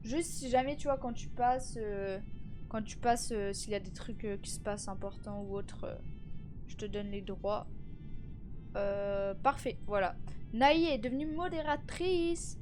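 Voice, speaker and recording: Tired, high-voiced teenage girl streaming. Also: measured pace, over music. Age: teens. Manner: chatty, greets viewers, many fillers and restarts. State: tired and stuffy from a cold. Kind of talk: casual live-stream chat.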